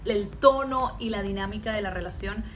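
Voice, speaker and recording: A young woman vlogging casually to camera, talking in a relaxed, chatty way and laughing now and then. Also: medium pitch, moderate pace. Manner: chatty, laughs often, mixes in English. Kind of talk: casual vlog talk to camera.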